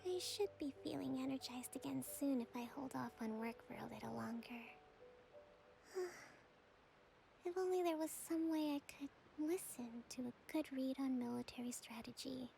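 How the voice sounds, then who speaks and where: A woman voicing a gentle, tired young priestess leader in a game, speaking softly, often in a breathy near-whisper. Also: sits high, moderate pace, over music. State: worn out. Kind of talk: game voice acting.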